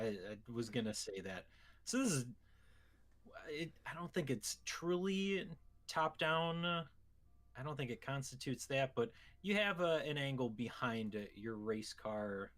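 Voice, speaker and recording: A man's voice: medium pitch, lively intonation, a little slow, fairly bright tone. A man with a mid-range voice talks casually as a co-host on a gaming show. He discusses video games in an informal, conversational way.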